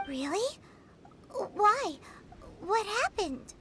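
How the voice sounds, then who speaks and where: A woman voicing a small childlike fairy companion in a video game, perky, inquisitive and squeaky. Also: sing-song, extremely slow, heavy background noise, heavily acted. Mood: perky, curious. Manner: perky, plain-spoken, inquisitive. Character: small childlike chirpy fairy companion girl, eager and helpful. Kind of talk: video game character acting. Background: loud game music.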